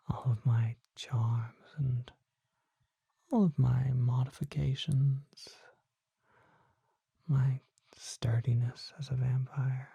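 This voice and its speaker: A performer voicing a mysterious, courtly vampire apothecary in a breathy, formal ASMR whisper, close to the microphone. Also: mid-range voice, dry recording, full character voice. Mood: gently cautioning. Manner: slow, formal, deliberate, hushed.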